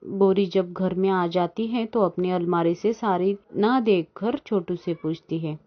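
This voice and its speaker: A woman's voice: on the low side, a little slow. Woman narrating a children's story, muffled. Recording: dull lo-fi sound.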